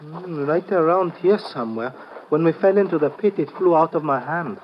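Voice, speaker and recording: A man's voice: a little high, moderate pace, natural voice. An actor playing a loyal, anxious sidekick in old radio adventure, hesitant and deferential in his speech. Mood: earnest. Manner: hesitant, deferential, speaks of himself in third person. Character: loyal, earnest, anxious male sidekick of the hero. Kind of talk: 1940s-50s radio adventure acting. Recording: dull, hissy old radio recording.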